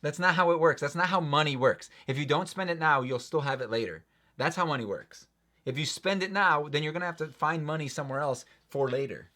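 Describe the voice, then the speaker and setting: A young man co-hosting a home livestream, chatting casually and teasing playfully, often repeating words in quick runs. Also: fairly high pitch, pushed and bright. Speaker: co-host of a livestream. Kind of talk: casual chat reading live comments.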